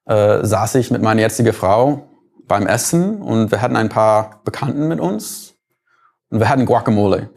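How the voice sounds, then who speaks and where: A man's voice: lowish voice, gravelly, a little mellow. A man from the United States with an American accent, giving an explanatory lecture, thoughtful and sometimes searching for words.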